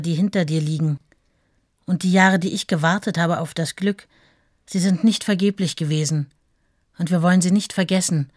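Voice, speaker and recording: Woman playing a low-voiced, devoted lover. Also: no room sound, studio quality, lightly acted. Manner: earnest, fervent. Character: devoted, ardent woman in love reading her letter. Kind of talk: radio play love letter.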